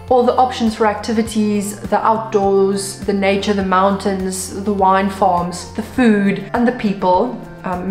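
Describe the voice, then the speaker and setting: A woman's voice: medium pitch, roomy sound. A woman in her twenties from South Africa with a South African accent, a native Afrikaans speaker, chatting upbeat to camera in a vlog. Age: 20s. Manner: chatty and upbeat, with frequent ums. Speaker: vlogger. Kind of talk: casual vlog talk to camera.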